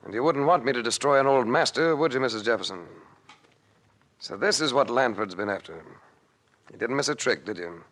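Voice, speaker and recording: A man's voice: mid-range voice, a little fast, fairly bright tone, close to an everyday voice. Man playing a cool, wry radio adventurer. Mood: wry, confident. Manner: dry, unflappable, clipped. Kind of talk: old-time radio drama acting with narration.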